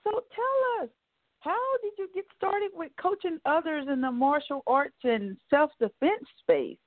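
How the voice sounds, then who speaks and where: A woman's voice: high-pitched, lively intonation, unhurried, no echo. A woman hosting a radio show, introducing her guest and asking him questions eagerly. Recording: dry, phone-like line.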